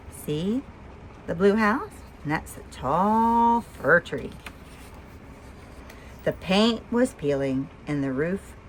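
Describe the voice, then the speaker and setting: A woman reading a picture book aloud for young children with expression, pausing to ask the listeners simple questions. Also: medium pitch, slight background noise, low-quality recording. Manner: asks listeners simple questions between story lines. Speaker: storyteller and host of a read-aloud show.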